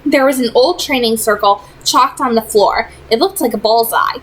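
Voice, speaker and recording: A woman with a fairly high voice narrates a young adult novel aloud. She delivers the prose as a storyteller, in an audiobook-like read. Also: normal speed, a bit bright, breathy edge, poor audio. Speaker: audiobook-style reader.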